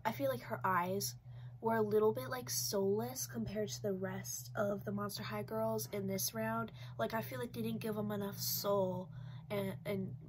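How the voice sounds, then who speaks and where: A woman's voice: medium pitch, fairly even intonation, normal speed, a little hiss or hum. Stuffy-nosed young woman chatting casually. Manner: rambling, chatty, many "like"s and "um"s. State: stuffy, congested from a cold. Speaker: doll collector vlogger. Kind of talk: casual review chat. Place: small decorated bedroom, indoors.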